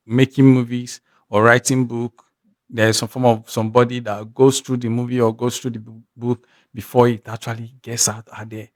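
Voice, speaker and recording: Nigerian-accented male reaction host, mid-pitched, rambling and thinking aloud, recorded dry and close. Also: dry recording. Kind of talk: casual reaction commentary.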